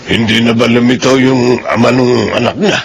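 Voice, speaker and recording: A man playing a gravelly, steady uncle. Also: mid-range voice, normal speed, a little hiss or hum, lightly acted. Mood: calm. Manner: calm, counseling. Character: older uncle, steady and practical. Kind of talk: classic radio drama acting.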